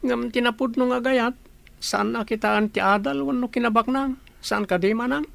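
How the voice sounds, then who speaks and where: A woman's voice: fairly high pitch, steady tone, normal speed, vocal fry, fairly bright tone, no room sound. A woman acting an inquisitive, teasing younger sister who gives romantic advice in a radio comedy drama. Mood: nudging.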